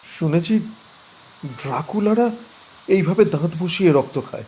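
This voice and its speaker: A man acting a cautious, worried young man in an audio horror drama, asking measured, uneasy questions. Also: a little high, lively intonation, warm tone, slightly rough.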